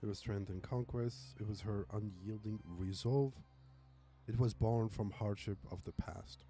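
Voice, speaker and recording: A man's voice: lowish voice, measured pace, mellow, faint music behind. A man streaming a game, giving casual running commentary.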